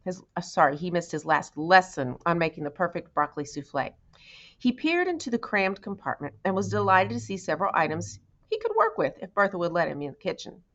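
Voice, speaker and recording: Lower-pitched woman reading a story aloud. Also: big pitch swings, a little fast, fairly bright tone, highs cut off. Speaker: audiobook reader. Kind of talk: storybook read-aloud narration.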